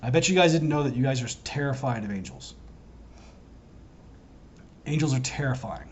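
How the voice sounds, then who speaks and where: Hoarse guy rambling to the camera, earnest, keeps cutting himself off, muffled lo-fi audio. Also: mid-range voice, expressive, normal speed, slight background noise. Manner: wandering, self-interrupting, earnest. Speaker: self-styled prophet vlogger. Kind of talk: rambling solo monologue to camera.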